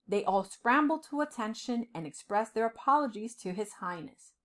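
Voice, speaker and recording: A woman reading a children's storybook aloud with dramatic, deliberate phrasing, as a storyteller. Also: fairly high pitch, animated. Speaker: storyteller, professor.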